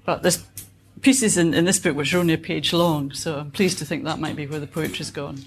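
A woman's voice: low voice, fairly even intonation, fairly quick, soft background music. A woman in her fifties with a Scottish accent, an author answering questions conversationally in a reflective, wry way. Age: 50s. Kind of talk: conversational answers at a book event.

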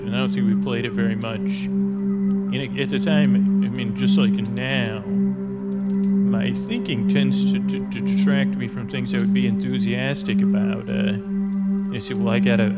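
A middle-aged man rambles through a whimsical podcast monologue in a mid-pitched voice, hesitant and often doubling back on himself. The recording is dull and lo-fi, treated with sound effects, and loud music plays along behind him. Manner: meandering, hesitant, self-interrupting, apologetic. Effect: sound-design effects over the episode. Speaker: podcast host.

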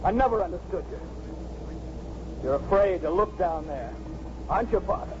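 High-voiced, bitter troubled young man. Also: lively intonation, normal speed. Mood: accusing, haunted. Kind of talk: 1950s radio drama acting. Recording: muffled, noisy 1950s radio recording.

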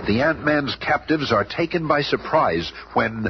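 A man's voice: medium pitch, a little slow, lightly acted. A man narrating a superhero radio drama in a dramatic, scripted style. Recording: muffled radio recording, heavy noise.

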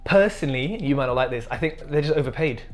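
A man's voice: mid-range voice, lively intonation, fast, over a noisy crowd. A man whose first language is Punjabi, a guest on a casual podcast, chatting playfully and laughing often.

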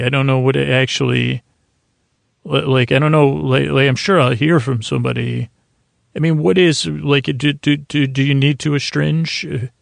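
A middle-aged man with a creaky voice hosting a bedtime podcast in a slow, lulling, meandering way, haltingly repeating words. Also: medium pitch, dry recording, studio quality. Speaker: podcast host. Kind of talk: rambling bedtime monologue for sleep.

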